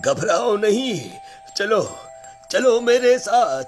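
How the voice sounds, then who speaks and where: Old village man for a cartoon male actor, resolute, halting, very slow delivery over music. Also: high-pitched, sing-song, exaggerated voice. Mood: urgent, commanding. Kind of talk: cartoon story dialogue.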